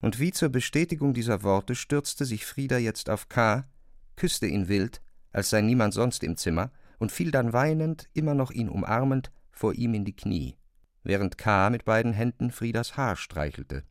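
A man's voice: medium pitch, lively intonation, normal speed. A man narrating literary fiction in a scripted audiobook style.

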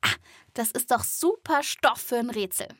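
A woman's voice: a little high, normal speed, a bit bright. A woman hosting a children's podcast, explaining things in playful, colloquial scripted narration.

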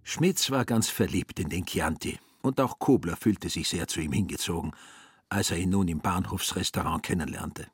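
A man's voice: deep voice, normal speed, breathy edge, dry recording. A man narrating a literary radio play in a wry storytelling manner. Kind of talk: literary narration with acted inner monologue.

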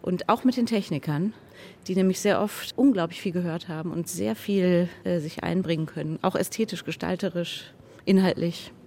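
A woman's voice: on the low side, moderate pace, darkish tone. A woman radio drama director giving a reflective interview, thinking aloud and correcting herself as she goes. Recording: clearly noisy background.

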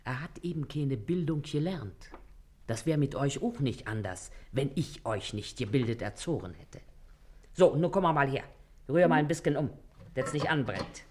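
An actress playing a shrewd, bossy, middle-aged washerwoman in a stage comedy, with a Berlin accent and a brisk, folksy, sharp tongue. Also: a bass voice, animated, slight background noise. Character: shrewd, bossy, quick-witted working-class washerwoman and mother. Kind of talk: stage comedy radio play acting.

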